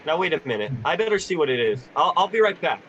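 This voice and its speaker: A man's voice: a little high, big pitch swings, fast, fairly bright tone, lo-fi sound, a little hiss or hum. A man playing an earnest, headstrong young newlywed husband in a 1940s style radio play. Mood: eager, then concerned. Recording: home recording for online radio play.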